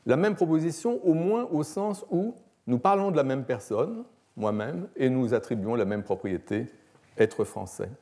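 A man, a philosophy professor giving an academic lecture in a measured, explanatory, hesitant manner. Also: medium pitch, expressive, warm tone. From France. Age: 60s. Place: lecture hall, at a lectern.

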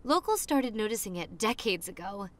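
A young woman playing a popular college girl on a spooky romantic date, in an ASMR roleplay with a hushed, dreamy manner and dramatic pauses. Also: mid-range voice, very animated, lightly acted. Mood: dreamy, storytelling. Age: young adult.

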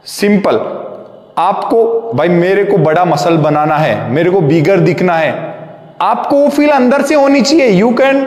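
A man, a gym trainer giving fitness tips slowly and deliberately, mixing in English phrases. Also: high voice, darkish tone. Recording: echoey hall reverb.

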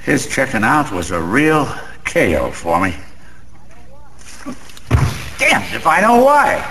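An actor playing a boozy, sentimental, boastful small-time gambler in a radio drama, rambling in old-fashioned street slang with a gravelly voice. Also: male voice, mid-range voice, huge pitch swings, slow pace, pushed and bright, exaggerated voice. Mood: defiant, shrugging. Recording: faint background noise.

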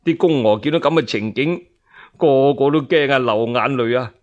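A man telling a dramatized traditional tale in Cantonese, colloquial and expressive, with asides to his listeners. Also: mid-range voice, moderate pace, lo-fi sound. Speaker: solo storyteller. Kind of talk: traditional Chinese radio storytelling, dramatized narration.

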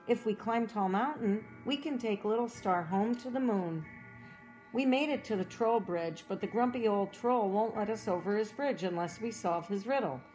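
A woman reading a children's storybook aloud as a cheerful young girl explorer, in a gentle, encouraging way that asks the listener questions. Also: mid-range voice, normal speed, soft background music, close to an everyday voice, poor audio. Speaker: storybook reader.

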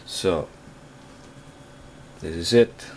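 Male, Dutch accent, low register, unhurried and hesitant amateur tech commentary, noisy room recording. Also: a little mellow. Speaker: tech reviewer. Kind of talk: casual unboxing commentary. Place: indoors at a desk in a room.

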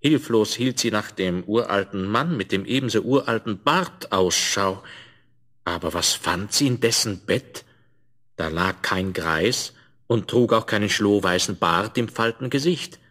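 A man narrating a scripted fairy tale for a 1970s audio play, with an expressive storyteller's delivery. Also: on the low side, measured pace.

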